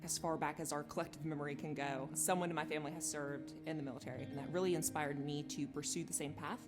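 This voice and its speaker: Fast-talking, very low-voiced woman. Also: steady tone. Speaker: student in a promo video. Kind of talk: personal testimonial. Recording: voice over music bed.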